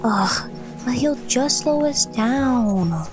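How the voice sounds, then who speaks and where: A woman's voice: on the high side, animated, very slow, music in the background. A woman playing a thoughtful young daughter in an audio drama, speaking hesitantly and wistfully. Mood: playful. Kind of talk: audio drama acting and narration.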